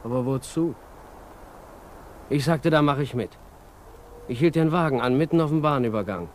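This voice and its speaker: A man's voice: mid-range voice, normal speed, darkish tone, lightly acted. An actor playing a restless, rebellious young man in a 1950s German radio play, blunt and moody. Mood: brooding. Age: young adult. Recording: 1950s radio recording, faint noise.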